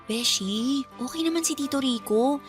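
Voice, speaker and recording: A woman playing a gentle young Filipino best friend in a radio drama, placating and pleading as a peacemaker. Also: sits high, moderate pace, soft background music, lightly acted.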